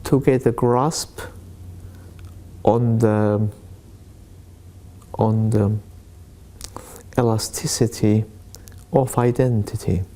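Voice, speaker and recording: Gravelly, mellow-voiced man telling stories. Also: mid-range voice. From born in Aleppo, Syria. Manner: hesitant, many fillers and restarts. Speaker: journalist interviewee. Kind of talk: reflective interview storytelling.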